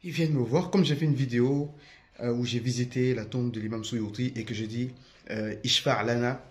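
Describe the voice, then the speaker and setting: A man's voice: mid-range voice, fairly even intonation, normal speed. A young man from France giving an unscripted, defiant monologue to camera, with many hesitations and restarts. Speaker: religious video commentator.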